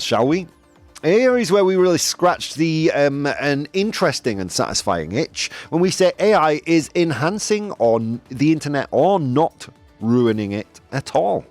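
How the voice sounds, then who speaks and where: A man hosting a casual opinion show, talking in an animated, rambling way with lots of fillers and self-corrections. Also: mid-range voice. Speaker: podcast host. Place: Spain.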